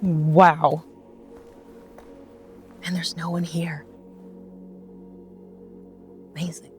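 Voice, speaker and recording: A woman's voice: lowish voice, extremely slow, soft background music. A woman in her forties, from Russia, with a mostly American accent slightly shifted by years in New Zealand, chatting casually and laughing often as she walks outdoors. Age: 40s. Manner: relaxed, chatty, laughs often, many fillers. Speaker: vlogger. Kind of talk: casual rambling chat on a walk. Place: outdoors on a bush walk.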